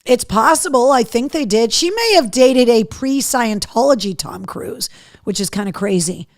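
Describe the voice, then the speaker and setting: A middle-aged woman hosting a live show, chatting casually and candidly with viewers in a slightly hoarse voice, with a cheeky streak. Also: on the high side, expressive, normal speed, dry recording, clean studio sound. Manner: candid, cheeky, mildly sweary. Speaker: podcast and livestream host. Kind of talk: casual live chat to viewers, reading out comments.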